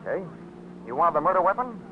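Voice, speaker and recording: An actor playing a tough, sardonic American private investigator in a 1940s radio detective drama, brusque and wisecracking. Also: male voice, sits high, very animated, fast talker. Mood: sardonic, pressing. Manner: wisecracking, hard-boiled, brusque. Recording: noisy, tinny 1940s radio recording.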